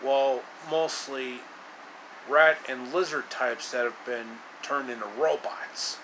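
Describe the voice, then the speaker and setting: A man retelling a fairy tale in his own loose way, with halting, drawn-out delivery and casual asides. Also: medium pitch, steady tone, background noise. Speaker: storyteller.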